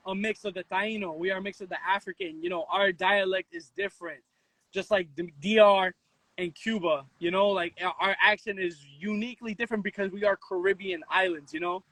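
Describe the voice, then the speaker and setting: High, bright New man. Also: no room sound, rough recording. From the Bronx, New York. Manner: animated storytelling, slangy, switches into Spanish. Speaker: interview guest, content creator. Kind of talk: casual, opinionated chat.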